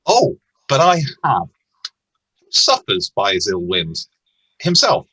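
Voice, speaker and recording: A man playing a cheerful, kindly young gentleman with animated delivery in an amateur radio play. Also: lowish voice, slow pace, husky, no echo, full character voice. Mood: pitying, good-humoured. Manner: animated, theatrical.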